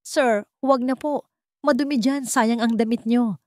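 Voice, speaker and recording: A timid, weary single mother with a Filipino accent, acted by a woman narrator. She is respectful and anxious, pitched a little up, in a dry storybook recording. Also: steady tone, a little slow, a little mellow, no echo, natural voice. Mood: flustered. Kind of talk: storybook character voice.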